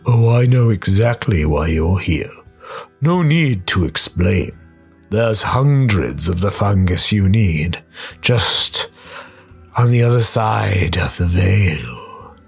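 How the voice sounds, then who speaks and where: A man playing a sly, towering demonic gardener in a radio play, courteously menacing, teasing and unhurried. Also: on the low side, big pitch swings, creaky, breathy edge, full character voice. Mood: amused menace. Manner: unhurried, teasing, mock-polite. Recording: music behind the voice.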